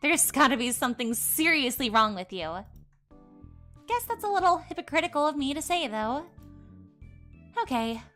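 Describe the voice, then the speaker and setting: A woman's voice: sits high, animated, normal speed, long pauses, pushed and bright, soft background music, voice pushed up. A woman voicing a troubled young female stalker from a visual novel, speaking in a bitter, self-deprecating way. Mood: wry.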